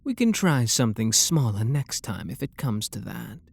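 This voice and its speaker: A man playing a refined, possessive vampire lord with a British accent in an ASMR roleplay, speaking softly and deliberately in a genteel, flirtatious manner. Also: medium pitch, big pitch swings, gravelly, slightly rough, full character voice. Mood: gently insistent.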